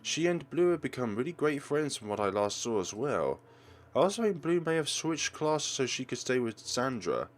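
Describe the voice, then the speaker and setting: A man's voice: mid-range voice, big pitch swings, moderate pace, voice pushed up, lightly acted. Man voicing a doting, lilting cheerful mother. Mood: gentle, upbeat. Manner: gentle, attentive, affectionate.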